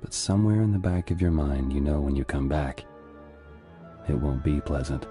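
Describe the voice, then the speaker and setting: An actor playing a weary, haunted young American soldier, narrating a war game in a brooding, measured way. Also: male voice, very deep voice, fairly even intonation, music in the background. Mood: bleak, resigned.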